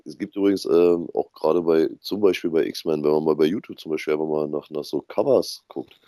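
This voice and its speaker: A man's voice: a bass voice, a little fast, dark tone, no echo, clean studio sound. A man chatting casually as a podcast guest, often hesitating between phrases. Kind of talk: casual nerd chat.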